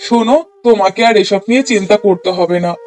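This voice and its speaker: A middle-aged cartoon father, played by a man with an unusually high voice for a man. He is stern and exasperated with his family. He lectures firmly and reproachfully. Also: fast talker, darkish tone, natural voice. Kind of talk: Bengali moral-story cartoon dubbing.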